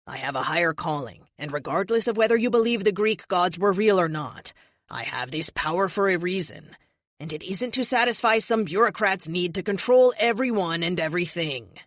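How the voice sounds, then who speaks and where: Carlos: woman reading as a calm, steady warrior hero. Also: fairly low pitch, moderate pace, no echo, clean studio sound, close to an everyday voice. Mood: earnest resolve. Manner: calm, firm, lightly amused. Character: grinning, unyielding ancient male warrior hero.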